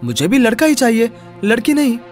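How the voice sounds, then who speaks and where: A man's voice: very high voice, very animated, a little fast, soft background music. A man voicing a dismissive, insistent husband in a moral story. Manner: blunt, insistent. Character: dismissive husband siding with his mother.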